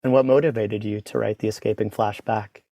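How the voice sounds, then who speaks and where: A man hosting an interview, asking prepared questions in a measured way. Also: fairly low pitch, fairly even intonation, gravelly, warm tone, no room sound.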